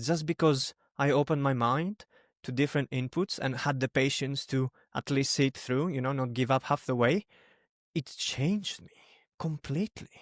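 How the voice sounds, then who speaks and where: A man's voice: a little high, no echo, clean studio sound. A young man from Greece, born in Albania, speaking English as a non-native language, chatting casually as a podcast host. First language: not English, which is a third language. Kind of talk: casual personal conversation.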